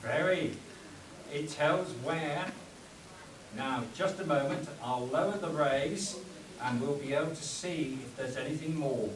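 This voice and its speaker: Man playing halting, earnest pulp hero, echoey. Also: a little high, recorded from a distance, crowd noise, close to an everyday voice. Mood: explaining, earnest. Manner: halting, stumbling over the script. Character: Doc, earnest commanding male pulp adventure hero. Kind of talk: spoof radio play, read from script. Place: convention stage before an audience. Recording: echoey convention room from afar, audience noise.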